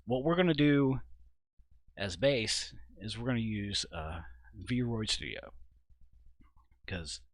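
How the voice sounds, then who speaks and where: A man giving an informal software walkthrough at his computer, thinking aloud in a halting, casual way. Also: fairly low pitch, expressive, no room sound. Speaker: video creator and host. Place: indoors at a computer.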